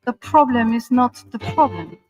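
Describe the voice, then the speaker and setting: A woman delivering motivational sayings in an inspirational, deliberate way. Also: fairly high pitch, expressive, music in the background. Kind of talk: motivational quotes.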